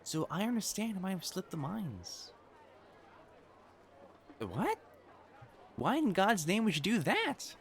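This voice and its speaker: Man acting as prim young matchmaker fiancé, lively pitch swings, formal wording, earnest, easily flustered, romantic audio drama, faint cricket ambience. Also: slow, pauses often, slight background noise, exaggerated voice. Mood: flustered, indignant. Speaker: voice actor in romantic audio roleplay. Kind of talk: intimate scripted romance roleplay acting.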